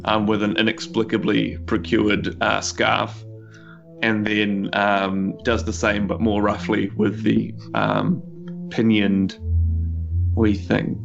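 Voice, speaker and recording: A man with a New Zealand accent tells his part of a tabletop game in a low, gravelly voice. He is wry and wordy, picking his words haltingly, with soft music underneath. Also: completely flat delivery, highs cut off. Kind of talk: casual improvised storytelling. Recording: music playing behind the voice. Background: background music.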